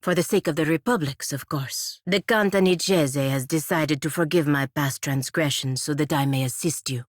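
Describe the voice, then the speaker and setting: An actress playing a proud, stern godlike warrior woman in a fantasy video game, speaking in formal, measured phrases. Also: sits low, no echo, clean studio sound. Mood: formal, reserved.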